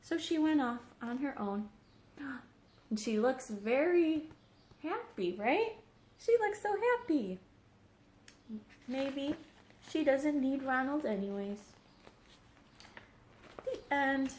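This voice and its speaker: A woman reading a children's story aloud as a teacher, expressive, putting questions to young listeners. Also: high voice, slow pace, pauses often. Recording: roomy echo on the voice.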